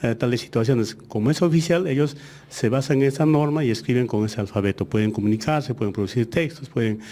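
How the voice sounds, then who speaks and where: Mellow-voiced man, Aymara native speaker. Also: medium pitch. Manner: measured explaining, frequent hesitations. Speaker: education ministry official. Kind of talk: interview answers.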